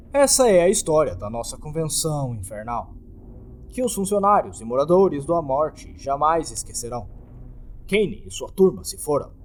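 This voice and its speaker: A man's voice: on the high side, a little mellow, slight background noise. A man with a Brazilian accent narrating a scripted horror story in a theatrical, dramatic storytelling manner.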